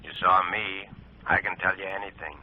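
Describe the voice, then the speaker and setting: An actor playing a smug, crooked small-town boss who talks slowly and curtly with veiled threats in a 1950s radio drama. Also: male voice, low voice, fairly even intonation, close to an everyday voice. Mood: veiled menace. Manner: slow, curt, veiled threats. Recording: muffled old radio recording, noisy.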